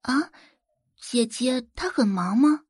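A woman voicing a sweet, falsely considerate young society daughter who asks things softly and innocently in an audio novel. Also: a little high, expressive, slow pace, breathy edge, dry recording, studio quality, pitched above the performer's own voice, exaggerated voice. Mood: curious, innocent.

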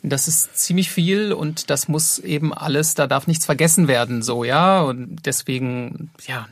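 A man's voice: a little high, measured pace, no echo. A man speaking conversationally in an interview as an audio drama producer.

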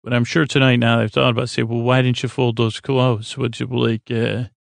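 A middle-aged man hosting a sleep podcast in a creaky voice, meandering and halting through tangents and interrupting himself. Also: mid-range voice, level tone, no room sound. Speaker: podcast host. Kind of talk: rambling bedtime monologue to help listeners fall asleep.

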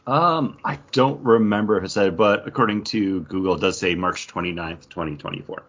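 Low, muffled-sounding man chatting casually. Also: fairly quick, a bit bright. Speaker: podcast co-host. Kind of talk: casual pop-culture discussion. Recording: dull, lo-fi audio.

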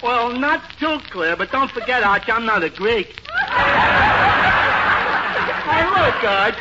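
Very high-pitched dimwitted barfly. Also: male voice, very animated, takes it slowly, heavily acted. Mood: puzzled. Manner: dim, simple-minded. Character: slow-witted, good-natured dopey male tavern regular. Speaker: supporting actor in a radio sitcom. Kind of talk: 1940s radio comedy acting. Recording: very noisy muffled 1940s radio recording.

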